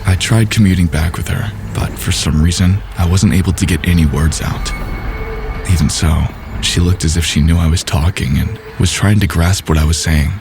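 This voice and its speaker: A man with a deep voice reads a first-person horror story in a measured, steady way. Clear music runs behind his narration.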